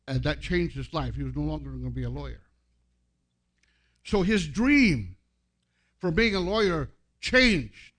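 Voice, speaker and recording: A man in his seventies giving an informal sermon as a guest pastor, telling personal stories in a halting, self-deprecating way. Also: fairly high pitch. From San Francisco, United States, born to a Filipino family. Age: 70s.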